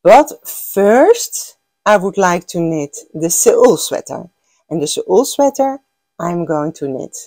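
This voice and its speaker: A woman's voice: on the low side, sing-song, slow, dark tone, no room sound, studio quality. An older Dutch woman, a native Dutch speaker with a Dutch accent, hosting a knitting vlog in a chatty, gushing way with many fillers and restarts. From Netherlands. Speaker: knitting podcast host. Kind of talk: casual chat.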